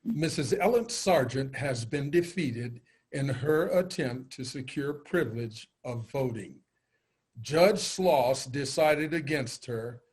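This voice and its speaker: A man playing a formal official, reading proclamations deliberately and declaiming them in a readers' theater style. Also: mid-range voice, expressive, a little mellow, poor audio. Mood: formal, proclaiming.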